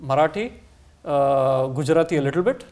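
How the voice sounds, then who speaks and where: A young man with an Indian English accent talks as a studio guest, thinking aloud with frequent pauses and fillers. His voice sits a little high for a man. He sounds close-miked in a quiet studio. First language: Kannada. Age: young adult. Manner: hesitant, thinks aloud with many uh's. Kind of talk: casual studio chat. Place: TV studio set, seated on sofa.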